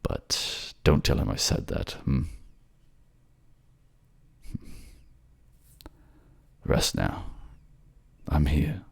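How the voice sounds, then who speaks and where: Man playing a wounded fantasy king, extremely low voice, creaky, gentle, halting, devoted, intimate audio drama. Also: sing-song, dry recording, exaggerated voice. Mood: soft, loving. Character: gravely wounded king, tender, remorseful, devoted lover.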